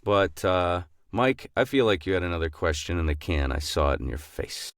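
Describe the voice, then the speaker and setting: Podcast cohost guy, deep but bouncy voice, jokey, dry close sound. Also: expressive, moderate pace, no echo. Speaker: podcast co-host. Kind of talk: casual podcast interview.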